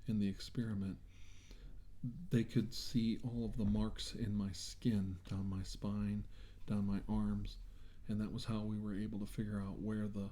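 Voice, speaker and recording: A man doing an improvised, soft-spoken ASMR role-play as a doctor, talking in a reassuring, halting way. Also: on the low side, little pitch movement. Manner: reassuring, hesitant, halting delivery. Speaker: ASMR role-player acting as a government doctor.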